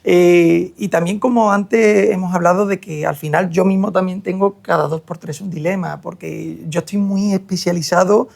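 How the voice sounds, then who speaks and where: High-voiced Sevillian man with gravelly edge. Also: fairly even intonation, normal speed, few pauses, a little mellow. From Seville, Spain. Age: young adult. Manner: reflective, frequent hesitations. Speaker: guest, hair transplant surgeon. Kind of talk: interview conversation.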